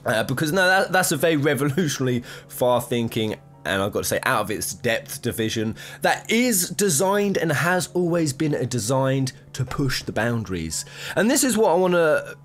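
Young guy ranting bout games into a desk mic, voice jumping all over, bit of quiet music behind. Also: medium pitch, moderate pace. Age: young adult. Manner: animated, rambling, frequent restarts. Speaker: gaming video host. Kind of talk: opinionated commentary to camera. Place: small room, gaming chair, green-screen backdrop.

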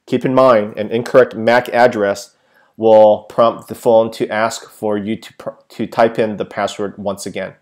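Low-voiced man explaining a tech setup. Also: flat delivery, measured pace, a little mellow. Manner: plain, methodical, with frequent "uh" fillers. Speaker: tech tutorial presenter. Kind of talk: step-by-step how-to narration.

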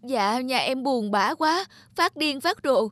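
Sobbing, distraught sister-in-law. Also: female voice, high-pitched, animated, slow, fairly bright tone, no room sound, clean studio sound, full character voice. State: crying. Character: distraught sister-in-law begging family for help after ruin.

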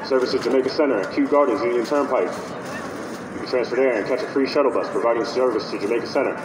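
A man's voice: medium pitch, quick pace. A man making a live service announcement as a train conductor. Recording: echoey, distant, noisy train PA. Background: subway train noise.

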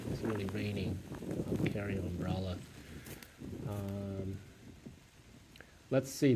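A man narrating a casual travel vlog as he walks through streets, a mall and a subway station, halting with many fillers and self-corrections. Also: lowish voice, dark tone, a little hiss or hum, low-quality recording. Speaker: travel vlogger.

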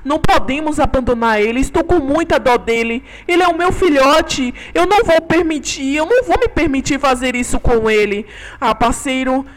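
A woman with a Brazilian accent voicing a worried, sad mother dinosaur who talks softly with sighs. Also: high-pitched. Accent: Brazilian Portuguese.

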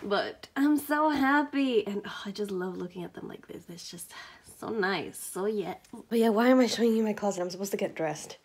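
Young woman, casual bedroom vlog, chatty and giggly, slightly croaky voice, phone at arm's length. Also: mid-range voice, normal speed, darkish tone. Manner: chatty, lots of "like", giggly asides. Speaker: vlogger. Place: small bedroom indoors. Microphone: phone held at arm's length.

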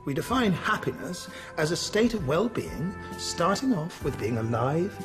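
A brisk, schoolmasterly philosophy teacher in a radio drama. He lectures emphatically in a fairly high, very animated voice, with music clearly underneath. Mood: didactic. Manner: didactic, emphatic.

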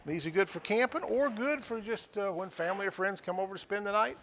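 A man's voice: high-pitched, fast talker, fairly bright tone, slight background noise. An older man hosting an auction show, casually describing items for sale with folksy jokes. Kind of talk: casual on-air sales pitch. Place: small studio room with sale items.

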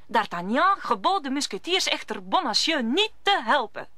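Female narrator for a radio play: scripted storytelling, bright tone, slightly high. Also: big pitch swings, moderate pace, dry recording.

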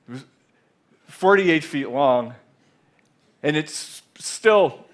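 A man in his sixties telling a measured, reflective story in a talk on a large theater stage, with a headset mic. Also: a little high, fairly bright tone. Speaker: speaker. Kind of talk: conference talk.

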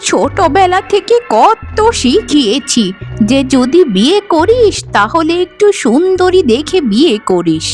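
A woman voicing a spiteful older mother-in-law in a cartoon, nagging and sarcastic with exclamations. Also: high-pitched. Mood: bitter complaining. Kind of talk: cartoon voice acting. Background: music.

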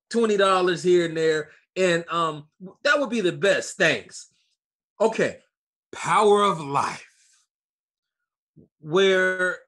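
An actor playing a pompous self-styled guru professor who lectures grandly and haltingly in a comic monologue, angling for donations. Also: medium pitch, pushed and bright, slightly rough, exaggerated voice. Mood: pompous, sly, then grandiose.

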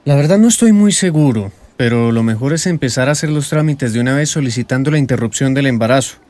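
An actor playing a measured, professional male doctor in a radio drama. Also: mid-range voice, animated, a little mellow, natural voice.

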